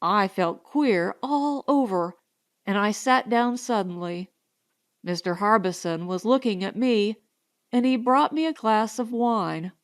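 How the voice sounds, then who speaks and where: A woman's voice: medium pitch, expressive, a little slow. A woman reading first-person narration from a comic novel as an audiobook narrator.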